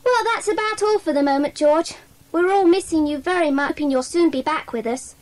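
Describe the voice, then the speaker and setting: A woman's voice: extremely high pitch, fairly quick. A woman acting an earnest, eager child member of a secret club in a children's audio drama. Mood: friendly.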